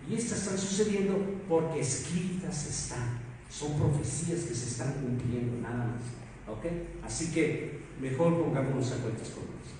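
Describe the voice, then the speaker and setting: Mexican preacher, male, warm higher voice, emphatic sermon with rhetorical questions; distant mic, long echo. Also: slight background noise. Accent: Mexican Spanish. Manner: rhetorical questions, emphatic swings between loud and soft. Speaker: pastor. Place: church hall. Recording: echoey hall, mic far off.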